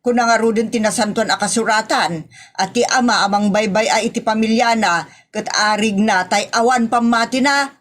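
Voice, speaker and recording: A woman's voice: fairly high pitch, moderate pace, natural voice. A woman with an Ilocano accent playing an exasperated, sarcastic housewife who scolds in a comedy audio drama. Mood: angry.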